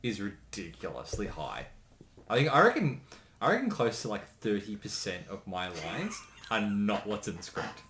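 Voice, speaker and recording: A man co-hosting a casual commentary, easygoing and agreeable with quick asides. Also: on the low side, very animated, a bit bright. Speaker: co-host of a creator commentary.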